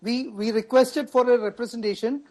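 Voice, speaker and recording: A man's voice: very high-pitched, steady tone, normal speed. A party spokesperson with an Indian English accent, arguing insistently on a TV panel debate and repeating words as he presses his point.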